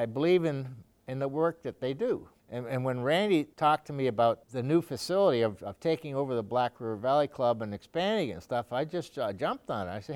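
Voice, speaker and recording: A middle-aged man talks in a loose, conversational way, circling back with "you know" and false starts. His voice sits at an ordinary middle pitch. He sounds unhurried and sincere as he explains himself. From Canada. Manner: rambling, full of fillers and restarts. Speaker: donor interviewee. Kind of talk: conversational interview.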